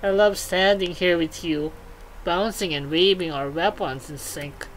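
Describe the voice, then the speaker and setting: A woman voicing a lovestruck, awkward male armored guard in a video game, talking in halting bro slang. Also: on the low side, slight background noise, natural voice, poor audio. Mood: earnest, lovestruck.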